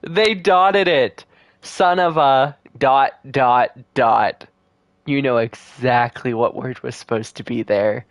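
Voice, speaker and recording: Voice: mid-range voice, takes it slowly, no echo. A game streamer giving casual, slangy, joking reaction commentary in a laid-back way.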